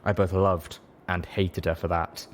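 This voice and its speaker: A man reading a dramatic story as an audiobook narrator, measured, solemn and emotive. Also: deep voice, flat delivery, a little hiss or hum. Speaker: audiobook reader.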